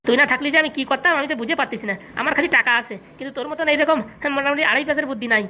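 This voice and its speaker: A woman's voice: high-pitched, very fast, pushed and bright, a little hiss or hum. A woman voicing a gullible, wealthy male politician in a comic cartoon, grumbling familiarly in a rural Bengali accent. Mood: familiar, reliant.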